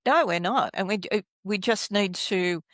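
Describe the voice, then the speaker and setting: A woman's voice: medium pitch, moderate pace, a bit bright, no echo, clean studio sound. A woman, a guest healer telling her story in an earnest, searching way. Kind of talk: casual spiritual interview talk.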